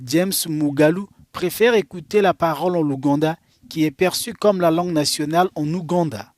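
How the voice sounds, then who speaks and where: A man reading a news report as voice-over in a measured way. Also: a little high, a little mellow, dry recording, clean studio sound. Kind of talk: voice-over narration.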